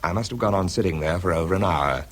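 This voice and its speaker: A man playing a troubled British scientist who narrates a radio drama in a confiding, measured, husky voice. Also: sits low. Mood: fearful, confiding. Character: weary, troubled British male scientist narrating his story. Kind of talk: dramatised first-person narration.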